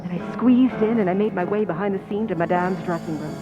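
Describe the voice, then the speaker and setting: A woman playing a scheming stand-in actress confessing to murder in an old-time radio suspense narration, with tense, deliberate delivery. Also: mid-range voice, lightly acted. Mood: grim, hushed. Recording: music under the voice.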